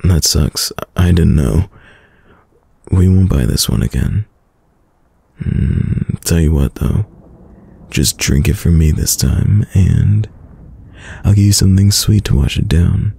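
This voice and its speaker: Very deep, gravelly man playing a tender boyfriend. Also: exaggerated voice. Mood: gently teasing. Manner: slow, soft-spoken, soothing, affectionate. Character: tender, teasing young boyfriend caring for sick partner. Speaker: voice actor. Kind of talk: intimate boyfriend roleplay ASMR.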